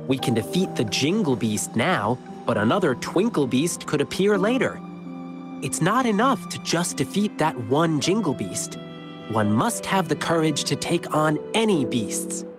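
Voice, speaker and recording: Eager young lion dancer, very lively pitch. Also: male voice, unhurried. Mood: determined, sincere. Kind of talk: video game story dialogue. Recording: game music behind voice. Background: music.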